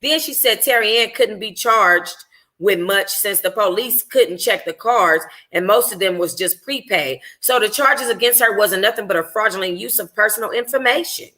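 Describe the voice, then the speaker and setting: A woman voicing an outspoken young best friend in a novel, animated, slangy and blunt. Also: medium pitch, moderate pace, natural voice. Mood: confiding.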